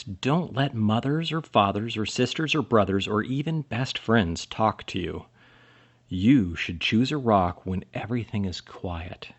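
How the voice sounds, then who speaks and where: A man reading a children's picture book aloud expressively, with dramatic pauses and emphasis. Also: lowish voice, husky, dull audio. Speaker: storybook reader.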